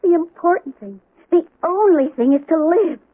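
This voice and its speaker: An actress playing a frightened young wife, pleading through tears in a radio drama. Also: female voice, extremely high pitch, animated, moderate pace. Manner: pleading, tearful. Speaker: Maynard's wife. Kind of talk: 1970s radio drama acting. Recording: tinny old radio broadcast recording.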